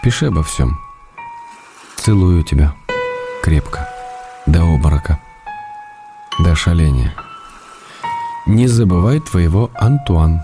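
An actor reading a writer's tender letters to his wife in a radio play, gently wry and unhurried. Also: sits low, lively intonation, over music, exaggerated voice.

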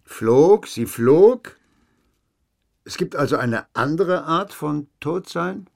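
A man's voice: a little high, expressive, slow, creaky, a little mellow, exaggerated voice. A man acting in a German radio drama as a terse, sceptical investigator questioning a witness with a dry manner. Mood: puzzled, probing. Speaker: actor in a radio play.

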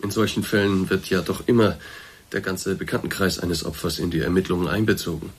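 An actor playing a courteous, awkward middle-aged boss in a radio play, speaking in a formal, hesitant, roundabout way with a slightly husky voice. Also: male voice, low voice, steady tone, a little fast. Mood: musing, formal. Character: courteous, awkward male boss, discreetly breaking bad news. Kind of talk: German radio drama acting, naturalistic dialogue.

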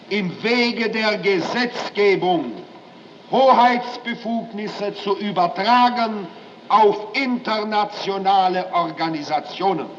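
An actor playing a solemn, impassioned post-war German parliamentarian, giving a formal speech in deliberate, oratorical phrases. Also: male voice, high voice, far from the mic, muffled recording. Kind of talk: formal political speech to an assembly. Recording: echoing hall from afar, faint noise.

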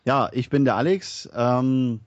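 A man's voice: mid-range voice, measured pace, dry recording, lo-fi sound. A man from Berlin with a Berlin accent, co-hosting a podcast as the football expert and speaking hesitantly. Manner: hesitant, many fillers. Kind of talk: casual podcast chat.

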